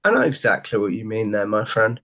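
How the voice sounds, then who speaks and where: Friendly young podcast host on a headset. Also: male voice, fairly low pitch, sing-song, brisk, gravelly, fairly bright tone. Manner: friendly, rambling questions with repeated stock phrases. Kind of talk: casual interview questions. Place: home room. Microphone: headset on a video call.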